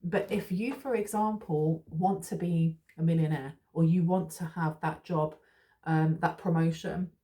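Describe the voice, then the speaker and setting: Warm-toned woman reading tarot. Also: sits low, steady tone, measured pace. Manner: rambling, reassuring, with many fillers and restarts. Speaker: tarot reader. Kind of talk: casual spiritual tarot reading.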